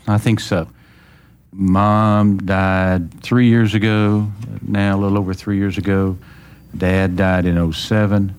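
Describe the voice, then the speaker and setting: A man from small-town Texas with a Texan accent, giving plain-spoken, measured recollections as an interview guest. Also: sits low, darkish tone. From small-town Texas, United States. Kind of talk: reflective personal recollection in an interview.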